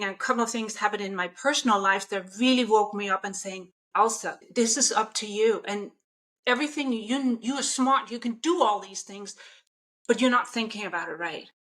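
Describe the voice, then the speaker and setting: A woman's voice: mid-range voice, a bit bright. A middle-aged woman from Denmark with a Danish accent, talking earnestly and with enthusiasm as a guest on a business interview podcast. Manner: earnest, enthusiastic storytelling with self-quotes. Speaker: guest, mindset coach.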